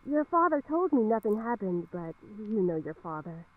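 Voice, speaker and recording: A woman playing a worried, gently coaxing middle-aged mother in a visual novel, soft and pleading. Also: mid-range voice, sing-song, normal speed, no room sound. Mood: gentle concern. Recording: thin, phone-like game audio.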